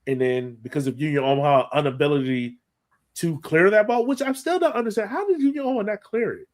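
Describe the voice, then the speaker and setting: Man, slightly high pitch, casual podcast host, match breakdown, animated delivery. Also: quick pace. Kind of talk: casual match analysis chat.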